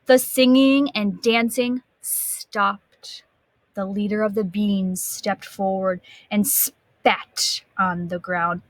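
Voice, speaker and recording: A woman reads a children's story aloud like a kindergarten teacher. She takes her time, stresses words dramatically and asks the listeners questions. The sound is dry, with a medium-pitched voice. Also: no room sound, poor audio. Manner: expressive, dramatic gasps and emphatic drawn-out words.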